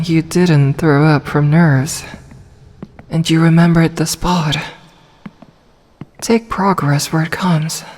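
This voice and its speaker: Snake-woman, voiced by a woman, gentle, protective, soft-spoken, breathy, slow. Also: deep voice. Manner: calm, soft, reassuring, often whispered. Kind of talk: ASMR audio roleplay.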